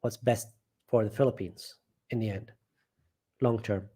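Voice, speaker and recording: A man's voice: on the low side, fairly even intonation, measured pace, dark tone, slightly rough. A man hosting a casual live stream from home, reading viewer comments aloud and rambling, often trailing off and restarting.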